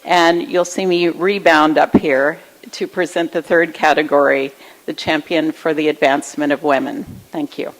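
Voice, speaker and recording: A woman emceeing an awards event, briskly announcing the next presenter. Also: low-pitched, fairly even intonation, in a large hall, slight background noise, poor audio. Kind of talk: stage announcements.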